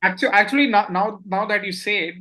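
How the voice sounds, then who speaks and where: A man's voice: high-pitched, quick pace, sharp tone. A man co-hosting a casual cricket chat, eager and chatty. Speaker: co-host.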